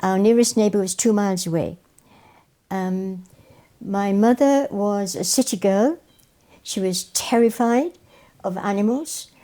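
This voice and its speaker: Older woman, mellow voice. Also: mid-range voice, unhurried, pauses often. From Wales. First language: Welsh. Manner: warm storytelling, repeats phrases for emphasis. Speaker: guest, educator. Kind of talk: reminiscing interview answers.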